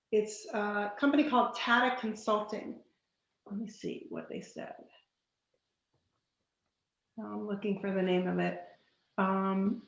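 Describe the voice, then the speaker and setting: A woman hosting an online discussion group, going hoarse and thinking aloud with many fillers and restarts. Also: medium pitch, echoey room, muffled recording. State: hoarse, losing her voice. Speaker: meeting facilitator.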